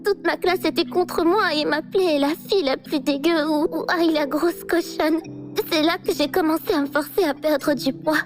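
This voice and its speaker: Anime dub role for a female actor: lovelorn, pushy schoolgirl, coquettish, extremely high pitch, over background music. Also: steady tone, moderate pace, lightly acted. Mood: tearful, wounded. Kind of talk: anime fan dub acting. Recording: music playing behind the voice.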